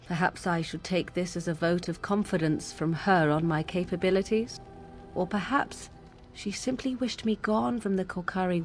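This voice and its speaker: A proud young witch played by an actress talks in a fairly low voice with music underneath. Her delivery is haughty and deliberate, full of sardonic edge and old-fashioned wording, in the manner of fantasy game drama. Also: natural voice. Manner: haughty, formal, archaic diction, deliberate. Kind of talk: dramatic video game dialogue.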